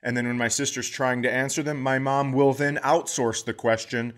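A man hosting a podcast, telling casual comic stories in a profane, animated way. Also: mid-range voice, moderate pace. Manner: profane, animated anecdotes with acted-out quotes. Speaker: podcast host, comedian.

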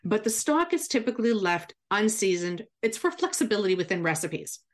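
A woman's voice: medium pitch, very animated, fairly quick. Woman explaining things in a chatty way. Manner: chatty, instructive, checks in with "right?". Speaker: cooking expert guest. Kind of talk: explanatory radio chat.

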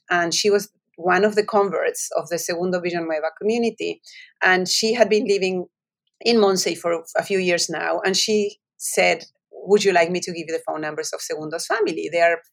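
Argentine woman with a Spanish accent. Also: fairly low pitch. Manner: reflective storytelling with frequent restarts. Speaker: author. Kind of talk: interview answers.

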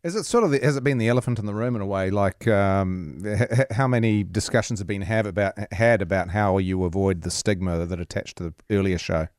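Fast-talking New co-host. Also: male voice, fairly low pitch, darkish tone, dry recording, studio quality. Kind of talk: casual studio chat.

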